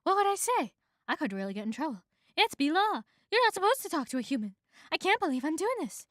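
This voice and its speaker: A woman's voice: high voice, animated, measured pace, sharp tone, clear, resonant tone, no room sound, clean studio sound, exaggerated voice. A woman voicing a nervous, awkward young male cartoon bee who stammers and apologizes. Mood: anxious, self-arguing. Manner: stammering, self-arguing, apologetic.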